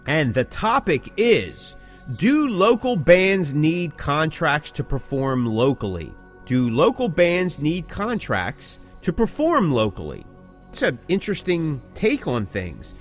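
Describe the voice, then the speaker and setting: A man with a fairly high voice and very animated intonation hosts a casual talk podcast. He is opinionated and likes rhetorical questions and short repeated phrases. Music plays faintly behind him. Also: slow pace. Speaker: podcast host.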